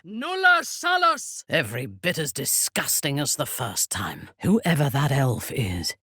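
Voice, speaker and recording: A woman playing a commanding, ruthless zealot warrior in a video game, speaking with a low husky voice and a measured, imperious, menacing manner. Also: huge pitch swings, pushed and bright, no room sound, studio quality, exaggerated voice. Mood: fierce battle cry. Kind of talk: video game character voice lines.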